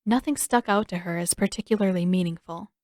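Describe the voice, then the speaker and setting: A woman reading a fan fiction story aloud in a steady, even storytelling manner. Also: lowish voice, a little fast, dry recording, clean studio sound. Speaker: podfic reader.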